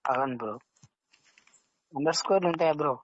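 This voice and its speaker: A man's voice: fairly high pitch, fairly quick, lo-fi sound, slight background noise. A young man in a casual phone conversation, questioning and protesting, often saying bro. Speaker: prank call target.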